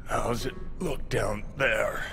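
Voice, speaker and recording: Brash, bitter rocker, low voice. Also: male voice, steady tone, takes it slowly, creaky, pushed and bright, soft background music, full character voice. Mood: groggy, urgent. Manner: curt, profane, confrontational. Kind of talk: cinematic video game acting.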